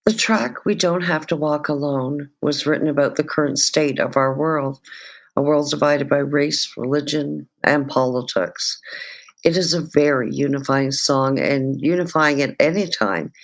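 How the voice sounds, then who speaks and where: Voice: mid-range voice, measured pace, gravelly. A music show host reading prepared interview questions and introducing tracks.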